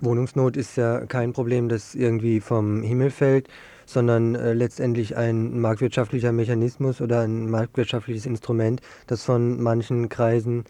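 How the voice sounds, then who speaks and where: A man's voice: mid-range voice, flat delivery, moderate pace, warm tone. A man hosting a local radio interview, asking questions in a hesitant, searching way. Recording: muffled, dull lo-fi radio recording.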